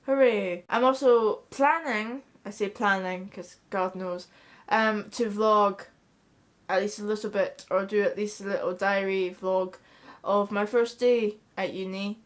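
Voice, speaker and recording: A woman's voice: mid-range voice, fairly even intonation, measured pace. A young woman with a Scottish accent chatting casually to camera in a small bedroom, blunt and swearing freely. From Scotland. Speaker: vlogger. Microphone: camera in front at close range.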